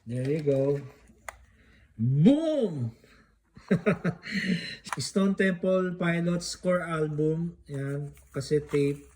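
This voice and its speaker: A Filipino man with a slightly high voice talks at a slow, unhurried pace. He sounds casual and laid-back, like a hobby collector vlogging an unboxing. Faint noise, clicks and crinkling packaging sit behind him. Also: expressive, a little mellow. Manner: laid-back, mixes Tagalog and English.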